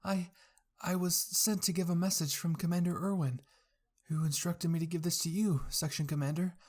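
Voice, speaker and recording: Eager young female messenger soldier, lifted pitch, dutiful, acted by a narrator, for anime fan fiction. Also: steady tone, moderate pace, a little mellow, lightly acted.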